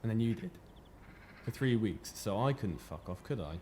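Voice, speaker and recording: A man's voice: fairly low pitch, lively intonation, moderate pace, a little mellow, slight background noise, lightly acted. A man playing a needling, wistful young man in a naturalistic radio play, colloquial and dry. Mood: resentful.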